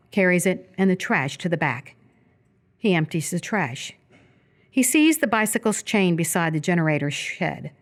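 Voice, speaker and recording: Female narrator, slightly low register, measured descriptive delivery of scripted stage directions for live radio theatre.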